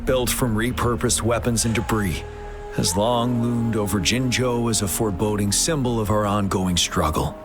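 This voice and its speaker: A man playing a grave, low-voiced general. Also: close to an everyday voice. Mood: earnest, grave. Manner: measured, earnest and formal. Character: stern, dutiful male military general. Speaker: general leading the defence. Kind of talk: voiced video game cutscene. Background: cinematic music.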